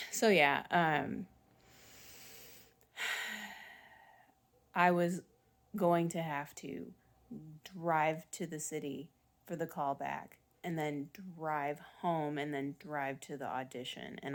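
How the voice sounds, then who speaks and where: A woman in her forties with a raspy voice, chatting in a rambling, casual way as a family vlogger. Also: sits low. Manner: chatty, rambling, self-deprecating with small laughs. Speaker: vlogger mom. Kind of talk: rambling casual vlog chat.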